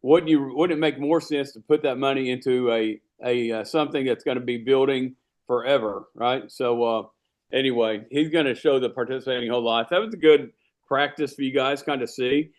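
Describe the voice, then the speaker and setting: A man's voice: medium pitch, steady tone, brisk. Rambling man leading a team call. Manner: loose and rambling, many fillers and restarts. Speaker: insurance agency leader running a team training call. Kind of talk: casual team meeting talk.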